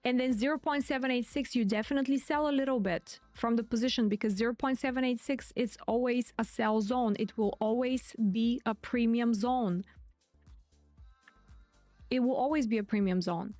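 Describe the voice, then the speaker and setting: Higher-voiced woman streaming crypto commentary. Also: moderate pace, darkish tone, no room sound, soft background music. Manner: chatty, repeats key points. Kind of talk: live stream market commentary.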